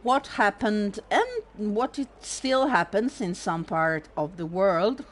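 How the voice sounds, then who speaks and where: A woman with an Italian accent, casually commenting on a game stream in a sardonic, animated way and swearing freely. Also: medium pitch, slow. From Italy. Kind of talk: casual let's-play commentary.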